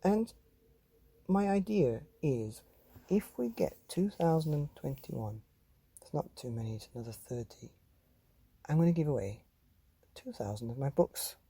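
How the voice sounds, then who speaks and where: Slow, mellow man hosting bedtime stories. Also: fairly high pitch, lively intonation, creaky, slightly rough, no room sound. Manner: addresses children directly, measured and deliberate. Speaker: bedtime story podcast host and reader. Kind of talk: scripted children's podcast narration.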